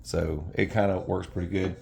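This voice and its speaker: A man in middle age with a fairly low-pitched voice talks through a product review off the cuff. His delivery is informal and rambling, and he tends to correct himself mid-thought. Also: little pitch movement, normal speed, creaky, a little mellow.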